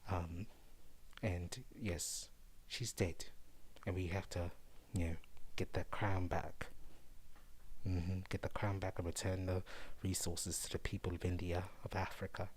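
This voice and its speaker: A man hosting an ASMR-style news show, talking softly in a put-on posh British voice and laughing often. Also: low voice, little pitch movement, unhurried, slightly rough.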